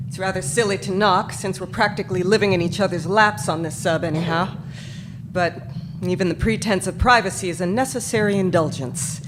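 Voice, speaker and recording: A woman's voice: lowish voice, brisk, lightly acted. Woman acting a composed, wistful captain, noisy stage recording. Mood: gracious, wry. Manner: composed, formal. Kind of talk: stage radio drama acting. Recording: live stage with background noise.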